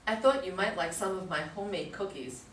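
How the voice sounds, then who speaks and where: A woman's voice: fairly low pitch, brisk, fairly bright tone, faint music behind, close to an everyday voice. A woman playing a chatty, devoted secretary who gushes about her boss, eager to please, in a staged play reading. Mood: gushing. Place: room at a live readers' theater reading. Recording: echoey room, distant mic, faint noise.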